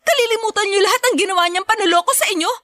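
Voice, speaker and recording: High-voiced, resentful Filipino daughter. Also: female voice, very fast, bright, forceful tone, dry recording, clean studio sound. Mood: bitter, hurt. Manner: confrontational, accusing. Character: resentful, wounded grown daughter confronting her parents. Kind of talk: Filipino radio drama acting.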